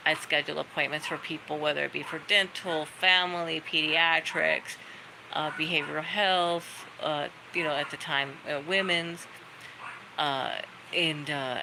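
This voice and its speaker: A woman's voice: low-pitched, vocal fry, noisy background. A middle-aged woman chatting casually on a livestream, rambling through stories in a friendly, talkative way. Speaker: livestream host. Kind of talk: casual chat to viewers. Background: dogs barking.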